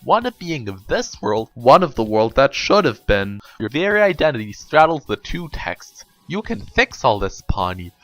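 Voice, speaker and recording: A man's voice: mid-range voice, big pitch swings, normal speed, hardly any pauses, fairly bright tone, soft background music, low-quality recording. Pompous, emphatic storybook girl lecturer acted by a man. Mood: solemn, commanding.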